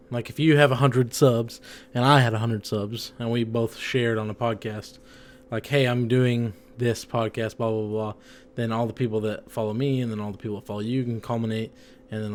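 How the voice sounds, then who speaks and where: A man's voice: lowish voice, flat delivery, fairly quick, a little hiss or hum. A young man co-hosting a podcast in casual chat, a bit tipsy from drinks, rambling with lots of fillers. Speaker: podcast co-host.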